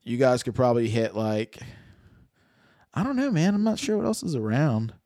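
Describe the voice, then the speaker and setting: A man in his late thirties chats loosely as host. He rambles, swears and laughs through his stories. His voice sits at an average pitch and sounds dry and close, with no room echo. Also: big pitch swings, normal speed, warm tone. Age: 30s. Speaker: podcast host. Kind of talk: casual chat about moped rallies.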